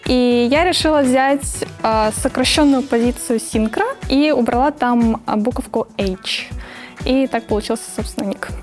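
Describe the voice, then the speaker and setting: A woman's voice: fairly high pitch, normal speed, darkish tone. A 21-year-old woman from Russia giving casual interview answers as an esports player, feeling for her words. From Oryol, Russia. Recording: music behind the voice.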